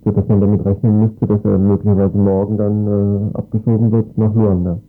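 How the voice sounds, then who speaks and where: A man's voice: on the low side, flat delivery, a little fast, narrow, tinny audio, soft background music. A man working as a radio interviewer, asking formal news questions.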